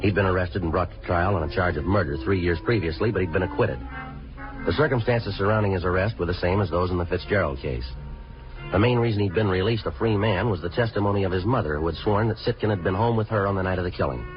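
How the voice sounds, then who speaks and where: A stern, businesslike detective played by a man on a 1950s radio police show. His very deep voice moves quickly, in clipped, deadpan, matter-of-fact phrases. The sound is muffled, as on an old broadcast. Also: soft background music, close to an everyday voice. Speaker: detective narrator. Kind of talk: 1950s radio police drama narration and dialogue.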